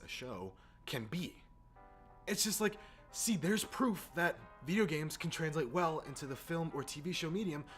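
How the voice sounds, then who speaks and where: A man in a casual, exasperated rant as a gaming video host, informal and sweary. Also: fairly high pitch. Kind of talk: casual opinionated rant to camera or mic. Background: background music.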